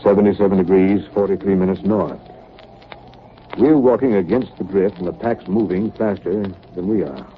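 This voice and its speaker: An actor playing a steadfast, grave Arctic expedition captain in a 1930s radio drama, speaking in a measured, commanding way. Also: male voice, sits low, natural voice. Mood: resolute. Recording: muffled, noisy 1938 radio broadcast recording.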